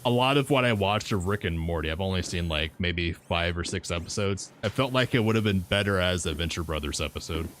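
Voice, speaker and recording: A man with a very low, deep voice talking in a relaxed, laid-back way during a game stream. Faint background noise runs underneath. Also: fairly quick, few pauses. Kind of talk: casual chat while gaming.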